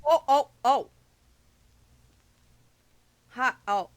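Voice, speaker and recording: A woman's voice: high voice, very slow. A woman co-hosting a reaction show in casual chat, with hesitant phrasing full of "like".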